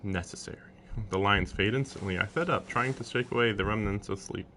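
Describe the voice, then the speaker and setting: A man's voice: fairly low pitch, fairly quick, bright, forceful tone, a little hiss or hum. A man streaming a visual novel, reading its first-person narration aloud. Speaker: game streamer. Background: game music.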